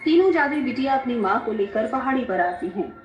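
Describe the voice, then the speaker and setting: A woman's voice: on the high side, expressive, fairly quick. A woman narrating a scripted dramatic story. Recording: echoey, dull, music behind.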